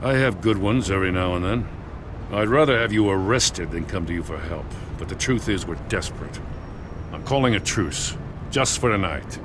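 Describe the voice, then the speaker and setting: An actor playing a weary, gruff middle-aged male police commissioner in a video game, blunt and tired. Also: deep voice, normal speed, background noise, lightly acted.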